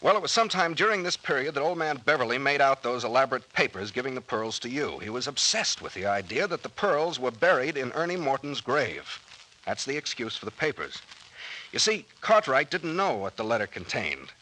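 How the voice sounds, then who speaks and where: An actor playing a confident detective captain in a 1940s radio drama, brisk and slangy as he lays out a mystery. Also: mid-range voice, animated, bright, forceful tone, slight background noise, low-quality recording. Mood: explaining, assured.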